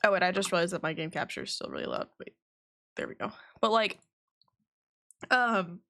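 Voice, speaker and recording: A woman's voice: fairly low pitch, big pitch swings, moderate pace, vocal fry, fairly bright tone. A woman streaming a game, chatting casually in a rambling way and swearing now and then. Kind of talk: casual livestream chat.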